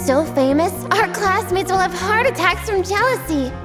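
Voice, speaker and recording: Manga dub girl, played by a woman: proud, ambitious, very high and youthful, over clear background music. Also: animated, normal speed. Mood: earnest, haughty. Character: young girl, childhood friend, proud and ambitious. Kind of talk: anime-style dub of a manga.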